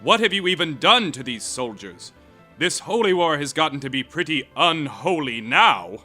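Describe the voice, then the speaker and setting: Man playing bright, indignant soldier hero. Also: fairly high pitch, big pitch swings, moderate pace, soft background music, exaggerated voice. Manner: indignant, sassy, plain-spoken. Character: big brutish male soldier hero, indignant and smart-mouthed.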